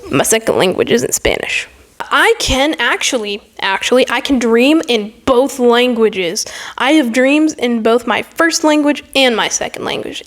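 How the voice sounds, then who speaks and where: A young woman talking casually to camera in a vlog, chatty and full of fillers, acting out little quoted exchanges with a self-mocking edge. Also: on the high side. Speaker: vlogger.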